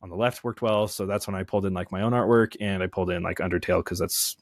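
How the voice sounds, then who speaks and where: Young man, low voice, dry close sound, casual rambling talk with fillers. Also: steady tone, fast talker, no room sound. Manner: rambling, many fillers and restarts. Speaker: indie game developer guest. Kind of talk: casual interview chat.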